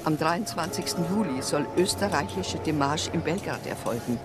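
A man plays a businesslike state secretary wording a telegram. He sounds formal and clipped, with a voice on the high side. Loud music fills the background of the radio play. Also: expressive, normal speed, natural voice. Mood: official, urgent. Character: official male state secretary dictating a terse telegram. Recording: loud music bed under the voice.

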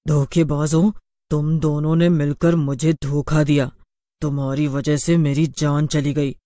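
A man's voice: a little high, mellow, dry recording, studio quality, close to an everyday voice. A man voicing a vengeful female witch ghost in a cartoon horror story, with a menacing, accusing, dramatic delivery. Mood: furious. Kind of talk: animated horror story dialogue.

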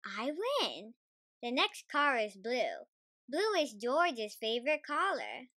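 A woman reading a children's storybook aloud, careful and expressive in her scripted narration. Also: high voice, slow pace, no echo, studio quality. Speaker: storybook reader.

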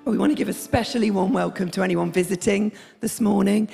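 A woman in her thirties with a hoarse, croaky voice, giving friendly, rambling church notices with many fillers into a handheld mic on a hall stage. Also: medium pitch, steady tone, a little fast, mellow. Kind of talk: casual announcements. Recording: long hall reverb.

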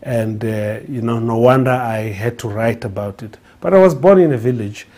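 A man's voice: mid-range voice, moderate pace, warm tone. A man in his forties from South Africa with a South African accent, giving an earnest personal talk full of fillers and restarts. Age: 40s. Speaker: guest speaker. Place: studio with printed backdrop.

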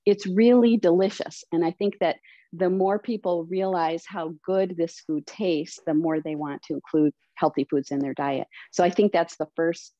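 A low-pitched woman with a mellow, warm tone explains plant-based eating patiently, with lots of gentle fillers. She speaks over a slightly dull, dry webcam connection from a home kitchen. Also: normal speed, dry recording. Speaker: plant-based cooking instructor. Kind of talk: answering questions in a video call. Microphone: webcam at a distance. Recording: slightly dull, dry video-call audio.

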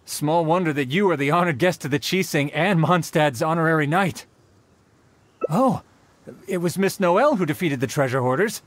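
A man's voice: on the high side, lively intonation, moderate pace, lightly acted. A man voicing a courteous, upright soldier in an anime-style video game, speaking formally and earnestly. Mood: grateful.

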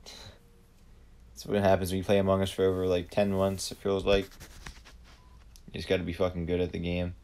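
A man's voice: deep voice, monotone, a little mellow, clean tone. A man giving live gameplay commentary, blunt and profane, ranting at the other players. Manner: profane, blunt, quick to rant. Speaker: gamer streamer.

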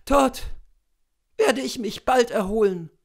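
A man voicing an irritable, self-pitying sick gentlewoman, fretful and aggrieved, in a classic story reading. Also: extremely high pitch, takes it slowly, creaky, voice pushed up, exaggerated voice. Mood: bitter.

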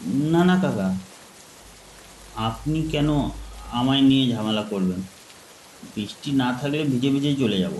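A man's voice: medium pitch, measured pace, long pauses, darkish tone, close to an everyday voice. A younger male traveller, acted by a storyteller speaks with polite reluctance in a mid-range voice. He sounds deferential, in an echoey recording with faint rain noise. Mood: polite protest.

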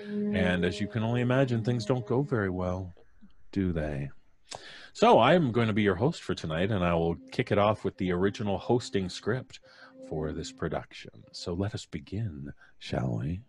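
A middle-aged man with a fairly low voice hosts a live show in a casual, chatty way. His pitch moves around a lot as he introduces things. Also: expressive, moderate pace, darkish tone. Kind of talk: casual hosting chat. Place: small indoor home studio on video call. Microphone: studio mic on boom arm.

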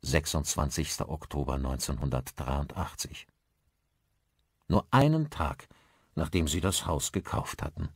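A man narrating a thriller audiobook in a measured, articulate storytelling manner. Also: very deep voice, big pitch swings, husky, dry recording, studio quality.

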